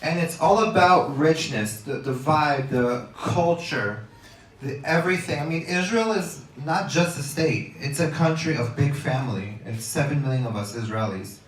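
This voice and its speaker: A man's voice: on the high side, moderate pace. A man in casual radio chat as a guest, rambling with many fillers and restarts. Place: outdoors on a beach. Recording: roomy, distant mic, faint noise.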